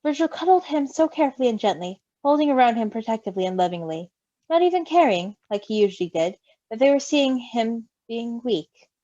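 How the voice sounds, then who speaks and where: A woman reading a story aloud expressively, with an animated, giggly manner. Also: a little high, brisk, no room sound. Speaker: story reader. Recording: slightly dull, close dry mic.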